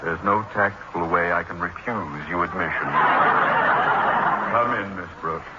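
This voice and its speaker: An actor playing an irritable middle-aged American school principal, pompous and formal, in 1940s radio comedy style. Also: male voice, low voice, measured pace. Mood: exasperated, stiff. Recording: noisy, muffled 1940s radio broadcast recording.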